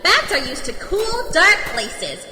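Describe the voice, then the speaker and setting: A woman voicing a bossy, sardonic bat guide of Hell in a squeaky voice, in an audio drama. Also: wildly swooping pitch, over music, full character voice. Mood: weary, sardonic. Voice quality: squeaky, batty. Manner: brisk, nagging, sardonic. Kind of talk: audio drama character acting. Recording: echoey reverberant space, noisy ambience.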